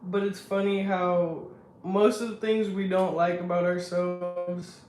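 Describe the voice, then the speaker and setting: A young woman with a deep, strong voice, chatting casually on a live stream in a reflective, self-assured way. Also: level tone, takes it slowly, a little mellow, soft background music. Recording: echoey room.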